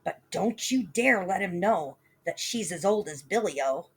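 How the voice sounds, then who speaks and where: A woman voicing a poor, greedy mother who scolds loudly in a raised voice, a little husky. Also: lively intonation, unhurried, exaggerated voice. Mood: furious. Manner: loud, shrill scolding.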